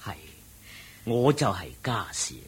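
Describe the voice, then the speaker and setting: A man acting in a radio drama as a venerable old Buddhist monk, humble, deferential and expressive, with a husky voice. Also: medium pitch, slow, exaggerated voice. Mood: resolved, respectful.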